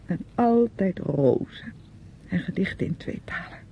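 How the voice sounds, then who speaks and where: A woman's voice: expressive, a little slow, gravelly, mellow. An actress playing a bitter, grieving younger woman in a radio drama, theatrical and reproachful, lingering over her words. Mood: wistful, bitter. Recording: muffled old radio recording, faint rumble.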